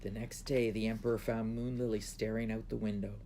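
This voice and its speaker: A man's voice: fairly low pitch, level tone, fairly quick, mellow, slight background noise. A man reading his own children's book aloud as a storybook narrator.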